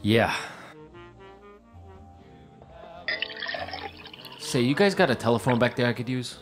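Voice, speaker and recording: An actor playing an eager, deluded struggling screenwriter in a period audio drama, fast-talking and stammering as he pleads. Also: mid-range voice, animated, music in the background, close to an everyday voice. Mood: eager, sweet.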